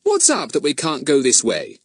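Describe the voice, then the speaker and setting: A man voicing a confident, clever boy who leads his friends, speaking briskly and with assurance. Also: fairly high pitch, wildly swooping pitch, no echo, voice pushed up. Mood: defiant.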